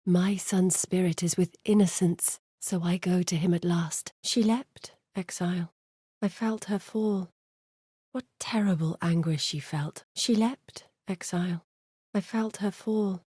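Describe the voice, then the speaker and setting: An actress playing a grave, haunted yet wry woman who guides exiles in a fantasy game, speaking in a measured, earnest, dramatic way. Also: mid-range voice, mellow, husky, no echo, studio quality. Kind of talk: scripted fantasy game voice acting.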